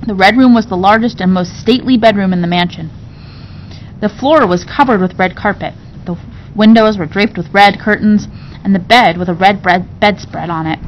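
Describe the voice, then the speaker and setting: Woman reading a novel aloud, dull recording. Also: moderate pace. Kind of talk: expressive storybook narration of a novel. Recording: dull recording with low rumbling noise.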